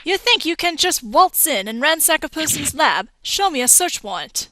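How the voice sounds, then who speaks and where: A woman's voice: high voice, very animated, normal speed, bright, forceful tone, dry recording, heavily acted. A woman voicing a hostile, scornful scientist in a game fandub, curt and sneering in her delivery. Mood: irritated. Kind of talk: video game fandub acting.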